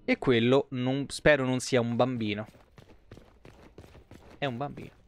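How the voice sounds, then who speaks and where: A man in his thirties with a clear, resonant voice of medium pitch and a Roman Italian accent. He streams a game live, commenting casually in slangy language. He drifts into rambling asides as he chats with his viewers. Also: steady tone, unhurried.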